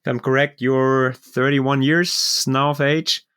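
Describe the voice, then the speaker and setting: A man whose first language is German hosts a podcast interview in a friendly, halting way with many fillers. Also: mid-range voice, little pitch movement, a bit bright, smooth. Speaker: podcast host. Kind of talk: casual interview hosting.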